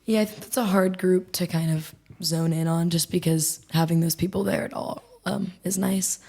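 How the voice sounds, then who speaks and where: A woman's voice: on the low side, moderate pace, gravelly. A young woman from the United States chatting casually as a podcast guest, with "like" and "um" as fillers. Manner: relaxed, uses "like" and "um". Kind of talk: casual discussion.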